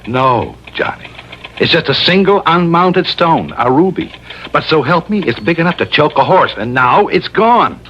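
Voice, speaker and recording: An actor playing an excitable, rambling American insurance man in an old radio drama, folksy, exasperated and emphatic. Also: a little high, normal speed. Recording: muffled old radio with hiss.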